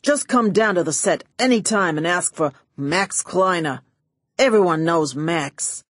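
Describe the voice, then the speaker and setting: A woman's voice: on the low side, expressive, unhurried, no room sound. Woman playing brash, lecherous male studio boss. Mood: pushy, oily. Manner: boastful, pushy.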